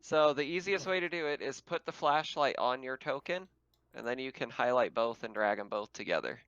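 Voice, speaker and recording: A man's voice: medium pitch, brisk, a bit bright, clean tone, no room sound, muffled recording. A man acting as game master in casual, informal talk, explaining things and amused, over an online voice call. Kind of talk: casual tabletop game narration and chat.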